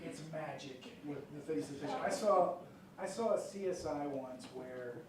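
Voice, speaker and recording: A man's voice: fairly high pitch, measured pace. Man addressing jury panel, conversational, lots of fillers; big echoey courtroom, mic far off. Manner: conversational, many fillers and check-ins like "okay?". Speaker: prosecutor questioning jury panel. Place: large courtroom. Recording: echoey courtroom picked up from far off, light noise.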